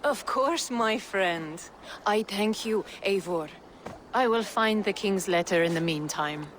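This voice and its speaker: An actress as a woman merchant from the east in a game drama, formal and measured, with a sly, teasing courtesy. Her voice sits at a middling pitch over clearly audible ambient noise. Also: close to an everyday voice. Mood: gracious, amused. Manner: formal, measured, playfully evasive. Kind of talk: video game dramatic dialogue.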